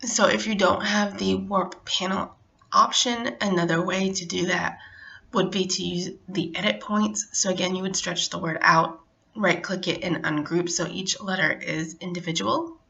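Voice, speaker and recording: Casual craft tutorial host, middle-aged woman, lowish gravelly voice, relaxed step-by-step delivery, slightly muffled recording. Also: normal speed. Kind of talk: casual how-to narration.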